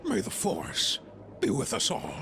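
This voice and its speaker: A tiny ancient alien sage with a gravelly croak. The speech is very slow and oddly ordered, wary in temper, with music clearly behind it in a comic dub. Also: male voice, high voice, wildly swooping pitch, heavily acted. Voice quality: creaky, croaking. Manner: inverted word order, slow. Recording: loud music under the voice.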